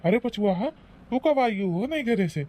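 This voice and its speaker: A man's voice: sits high, expressive, a little slow, dark tone, slight background noise. A man playing a blustering, defensive old village husband in a rural comedy cartoon, scolding and rambling in an Awadhi accent. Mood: bossy. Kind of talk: rustic comedy cartoon acting.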